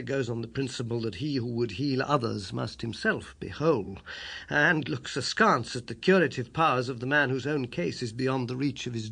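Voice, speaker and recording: A British man narrating a literary story in the first person, with a husky, creaky voice and an expressive, emphatic delivery. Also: mid-range voice. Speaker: audiobook narrator.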